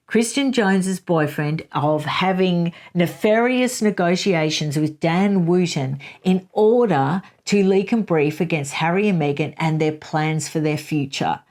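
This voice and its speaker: Solo commentary host, woman, lower-pitched voice, forceful and rebutting. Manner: emphatic, rebutting, reads quotes aloud.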